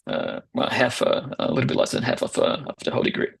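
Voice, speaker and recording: A young man in his twenties from Brazil, a Portuguese speaker, answering interview questions in a hesitant way with many pauses and restarts. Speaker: guest founder.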